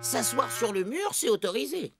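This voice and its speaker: A woman's voice: fairly high pitch, big pitch swings, normal speed, vocal fry. A woman voicing a young, cheeky, playful penguin in a French children's cartoon, with an eager, exclamatory delivery. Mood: excited, playful. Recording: prominent music behind the voice.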